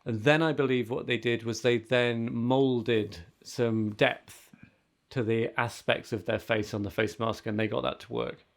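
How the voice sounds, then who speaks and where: A man's voice: medium pitch, steady tone, a little slow, vocal fry. A man co-hosting a casual tech podcast, talking in an insistent, contrarian way. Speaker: podcast co-host. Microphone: remote call setup. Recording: remote video call.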